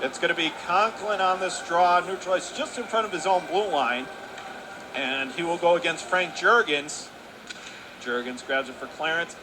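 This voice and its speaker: High-voiced man calling hockey. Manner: rapid excited calling that rises sharply on goals. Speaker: play-by-play announcer. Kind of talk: radio hockey play-by-play. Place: arena broadcast position. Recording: noisy arena broadcast.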